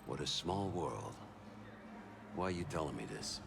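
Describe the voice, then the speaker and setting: A mob fixer in a video game, a man with a very low voice. He talks unhurriedly and wryly, polite on the surface with menace underneath, as music plays. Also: expressive, vocal fry, exaggerated voice. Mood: guarded, wry. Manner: unhurried, wry, understated threat. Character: smooth-talking, menacingly courteous male mob fixer. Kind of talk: game cutscene acting. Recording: music behind the voice.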